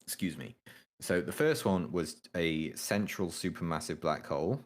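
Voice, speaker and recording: A young man with an extremely deep, low voice talks through physics equations. His casual delivery is halting and full of ums, with the odd swear word. He sounds offhand about the material. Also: lively intonation. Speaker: co-host explaining astrophysics. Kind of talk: casual study chat.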